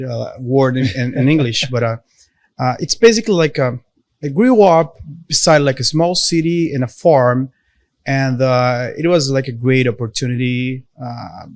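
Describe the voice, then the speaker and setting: A Brazilian man speaking English as a second language with a Portuguese accent, a podcast guest talking haltingly with many fillers. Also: mid-range voice, steady tone, no echo. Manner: halting, many "uh" and "like" fillers, non-native grammar. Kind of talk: casual interview conversation.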